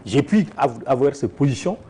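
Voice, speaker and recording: Man, farmers' leader in an interview, mellow voice, emphatic, faint noise behind. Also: mid-range voice, animated, normal speed. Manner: emphatic, repetitive, with restarts. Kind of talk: interview answers.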